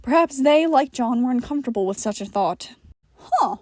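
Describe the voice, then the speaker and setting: A woman's voice: sits high, lively intonation, brisk, a little mellow, dry recording, studio quality. A woman reading scripted fiction aloud as the narrator of a story recording. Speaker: podfic narrator.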